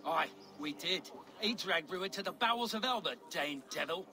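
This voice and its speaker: An actor playing an eager, loyal Saxon commoner in a video game cutscene. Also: male voice, high-pitched, animated, moderate pace, pushed and bright, heavily acted.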